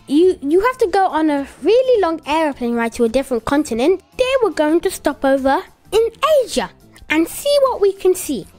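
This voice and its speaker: Eager high-pitched child puppet. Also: female voice, very animated, moderate pace, darkish tone, soft background music. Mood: eager, informative. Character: little child puppet Elle, eager young geography helper.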